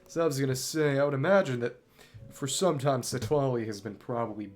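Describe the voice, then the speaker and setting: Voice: medium pitch, fairly quick, a little mellow. A video game streamer giving casual live commentary, thinking aloud and trailing off mid-thought.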